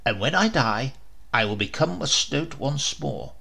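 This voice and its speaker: A man's voice: mid-range voice, expressive, unhurried, creaky, sharp tone, exaggerated voice. A man voicing a stern, noble old king who scolds and mocks his spoiled son in a storybook reading. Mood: scornful.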